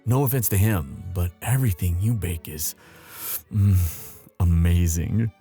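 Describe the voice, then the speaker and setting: A man playing a young prince, a tender and anxious lover, in an intimate, halting romantic audio roleplay. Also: deep voice, lively intonation, warm tone, faint music behind. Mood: tender, teasing.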